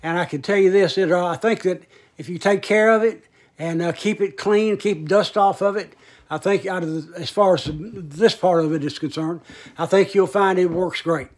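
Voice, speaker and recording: A man's voice: high voice, normal speed, a little mellow. A man giving a casual, folksy product demonstration as a seller, explaining in a hesitant, rambling way. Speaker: online seller demonstrating vintage audio gear.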